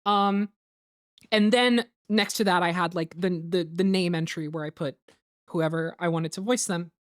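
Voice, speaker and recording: A woman's voice: on the low side, fairly even intonation, normal speed, no echo. Woman rambling casually, close dry sound. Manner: rambling, peppered with "like" and swearing. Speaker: host of a group commentary on a fan dub. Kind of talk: casual chat, explaining production process.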